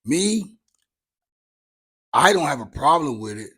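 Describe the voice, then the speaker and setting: A man hosting his own talk show, chatting casually in a loose, candid way full of slang and swearing, with a raspy voice. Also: a little high, big pitch swings, slow pace, fairly bright tone, no echo, clean studio sound. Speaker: podcast host. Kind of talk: casual solo chat.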